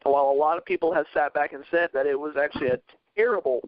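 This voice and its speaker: A man's voice: a little high, very fast, muffled recording. A man giving his opinions as a panel guest, casual, explanatory and long-winded.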